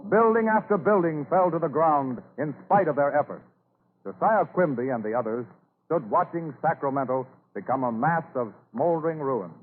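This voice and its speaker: A man's voice: fairly high pitch, animated, moderate pace. A man giving formal, dramatic scripted narration in the manner of old-time radio. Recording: narrow-band old radio recording. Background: dramatic music.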